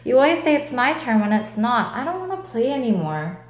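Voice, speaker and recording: A woman voicing an excitable, dramatic little male bug in a children's storybook. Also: fairly high pitch, fairly quick, reverberant. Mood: cross. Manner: exclaiming, dramatic.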